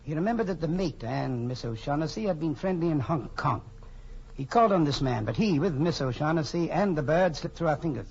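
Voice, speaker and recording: An actor playing a jovial, pompously polite but menacing crime boss in a 1940s radio drama. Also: male voice, a little high, expressive, a little fast, darkish tone. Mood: smooth, explanatory. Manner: florid, pompous politeness with chuckling. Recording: muffled, noisy 1940s radio broadcast.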